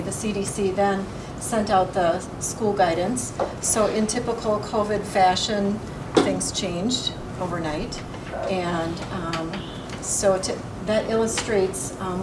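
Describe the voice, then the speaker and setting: Woman, soft-spoken, measured, frequent fillers, echoey meeting room, far from the mic. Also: mid-range voice, very noisy. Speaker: public health official. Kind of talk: public comment at a meeting. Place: school board meeting room.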